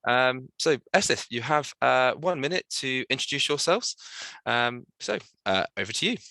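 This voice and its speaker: A man hosting an online student election panel on a video call, upbeat and full of quick praise, with many fillers. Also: medium pitch, lively intonation, sharp tone, dry recording. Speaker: hustings host.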